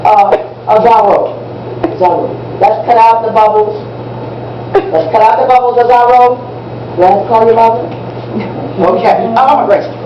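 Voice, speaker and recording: A woman's voice: a little high, steady tone, normal speed, long pauses, lightly acted. A woman playing an enthusiastic American schoolteacher on stage in an amateur play, speaking didactically and repeating and spelling out key words. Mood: gently scolding. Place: indoor room at a public library. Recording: distant, echoey, muffled, noisy room recording.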